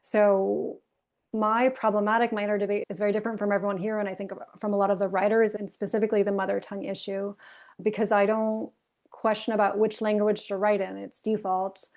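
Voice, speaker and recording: A woman's voice: medium pitch, a little fast. Reflective woman editor on a video call. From Tunisia, raised in the United States. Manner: thoughtful, animated, talks through ideas with restarts. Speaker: managing editor and literary translator. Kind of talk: roundtable discussion.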